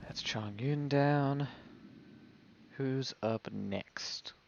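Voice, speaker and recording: A man's voice: mid-range voice, extremely slow, gravelly, darkish tone, dull audio. A man streaming a video game and giving casual live commentary as he plays.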